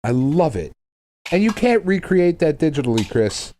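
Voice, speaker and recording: A man in middle age with a very animated voice that moves widely in pitch. He talks like a podcast co-host in loose, casual chat, emphatic and irreverent, with plenty of swearing. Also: moderate pace, slight background noise.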